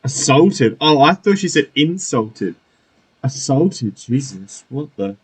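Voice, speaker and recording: A man's voice: mid-range voice, sing-song, slow, breathy edge. A man playing a video game story quest and chatting casually, jokey and reactive. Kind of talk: casual let's-play commentary. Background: faint game music.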